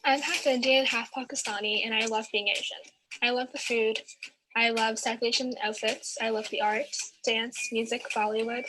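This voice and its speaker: A woman's voice: a little high, steady tone, moderate pace. A young woman giving a prepared personal testimonial on a noisy home video. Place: living room with staircase.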